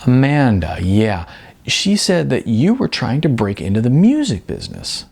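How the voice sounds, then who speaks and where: A man voicing a laid-back, apologetic young movie star in an audiobook, speaking in a halting, casual, earnest way. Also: mid-range voice, huge pitch swings, vocal fry, a little mellow, husky, full character voice.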